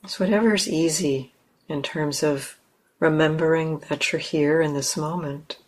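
A woman leading a guided meditation as a teacher, speaking gently and leaving long pauses between short phrases. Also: low voice, steady tone, low-quality recording.

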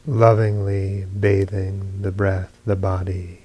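A man leading a guided meditation as a teacher, speaking in short, measured phrases with long pauses between them. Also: sits low, completely flat delivery, dark tone, slightly rough. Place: meditation retreat hall.

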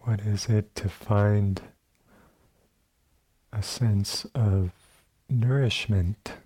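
Slow, husky, mellow man guiding meditation. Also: lowish voice, fairly even intonation. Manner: gentle, sparse phrases with long pauses. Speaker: meditation teacher. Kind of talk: guided meditation. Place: meditation center hall.

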